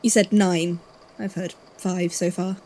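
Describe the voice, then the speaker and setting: A woman in a podfic audio drama playing a probing, dryly self-deprecating questioner with a soft, husky voice. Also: fairly low pitch, little pitch movement, unhurried, a little hiss or hum, lightly acted. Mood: curious, dry.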